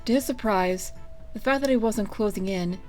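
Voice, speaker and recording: A woman reading a story aloud as its narrator, in an expressive scripted style. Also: mid-range voice, normal speed, faint music behind. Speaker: fan fiction reader.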